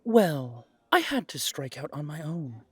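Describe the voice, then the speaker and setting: A man's voice: fairly high pitch, very animated, unhurried, fairly bright tone, slightly rough, full character voice. A man playing a polite, composed, peace-loving cafe owner in a fantasy audio drama. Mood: composed, open. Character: Trevelyan, courteous peace-loving cafe owner from a magical family. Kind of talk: audio roleplay drama acting.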